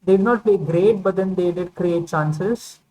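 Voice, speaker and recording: A woman with a lowish voice talks through football results in a casual vlog. She rambles, hedges and corrects herself, with plenty of fillers along the way. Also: a little slow, dark tone, a little hiss or hum, poor audio. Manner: rambling, hedging, many fillers and self-corrections. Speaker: football vlogger. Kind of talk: casual football opinion monologue.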